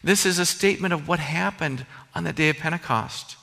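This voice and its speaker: Pastor, male, Minnesota accent, bright and slightly high voice, earnest conversational sermon, reverberant hall. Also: a little fast, gravelly. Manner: earnest, conversational preaching with personal asides.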